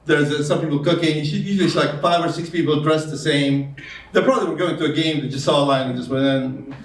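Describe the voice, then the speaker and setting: A man's voice: on the high side, fast. Accented man doing stand-up in a roomy club. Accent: foreign. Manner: deadpan storytelling with hesitant fillers. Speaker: stand-up comedian. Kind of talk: stand-up comedy set. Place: comedy club stage. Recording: roomy club sound from a distance. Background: audience laughter.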